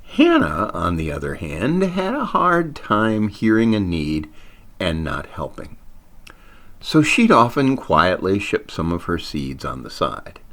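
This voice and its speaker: A man's voice: deep voice, huge pitch swings, takes it slowly, fairly bright tone. A man reading a scripted story aloud as its narrator, with theatrical emphasis on wordplay and punchlines. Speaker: author reading own short story.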